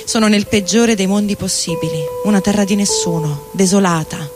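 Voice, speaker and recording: Male actor as tormented lover, high-pitched, dramatic, literary monologue, music underneath. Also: moderate pace, natural voice. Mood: desolate, tormented. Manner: anguished, dramatic, literary delivery. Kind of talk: theatrical literary monologue reading over music. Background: electric guitar and loops.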